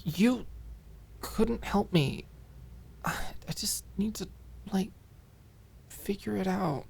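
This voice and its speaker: A woman's voice: medium pitch, takes it slowly, gravelly, darkish tone, slight background noise, pitched above the performer's own voice. Woman playing a flustered, stammering young woman. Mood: embarrassed, evasive. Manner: hesitant, stammering, trailing off. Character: flustered, guarded, lovesick young woman hiding her feelings. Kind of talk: audiobook drama dialogue.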